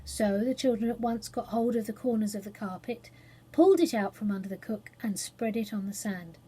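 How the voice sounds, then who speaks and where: A woman reading a children's story aloud as an expressive storyteller. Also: medium pitch, a little fast, breathy edge, low-quality recording.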